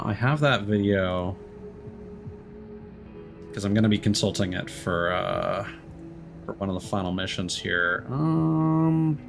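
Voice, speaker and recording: A male game streamer with a fairly low voice talks casually over background music. His delivery is reflective and meandering, with hesitant pauses as he thinks through his commentary. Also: creaky, fairly bright tone.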